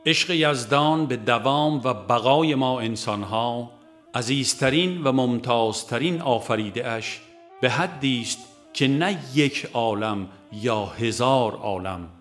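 A man's voice: medium pitch, fairly bright tone. Man reading devotional prose over music. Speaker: audiobook reader. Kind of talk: scripted devotional narration.

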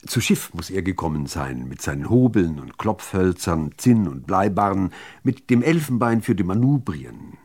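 A man reading literary prose as a radio narrator, in a measured, deliberate delivery with dramatic pauses. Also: low voice, very animated, a little mellow.

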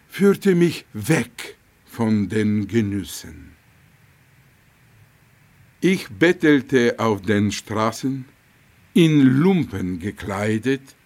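Casting: serene sage of compassion, mid pitch, extremely slow, solemn, expressive intonation, radio drama. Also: male voice. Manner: solemn, measured, long pauses. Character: serene male holy master of compassion, solemnly self-revealing.

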